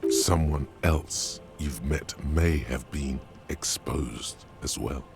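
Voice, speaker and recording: An actor playing a brooding, cryptic inner voice in a detective video game, solemn, deliberate and terse. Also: male voice, extremely low pitch, vocal fry, over loud music, exaggerated voice. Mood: brooding, observant.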